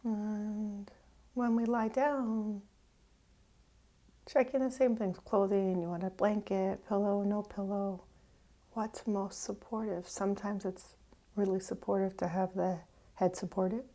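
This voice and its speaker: A woman meditation teacher leading a guided meditation gently, with pauses, often trailing off into a breathy near-whisper. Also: mid-range voice, level tone. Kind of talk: guided meditation, unhurried and instructive.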